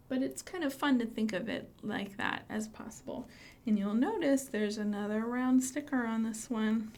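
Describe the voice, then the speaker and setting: A woman's voice: on the high side, a little mellow, slight background noise. A woman hosting a children's storytime, explaining books and rhymes simply for young listeners. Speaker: children's librarian, storytime host.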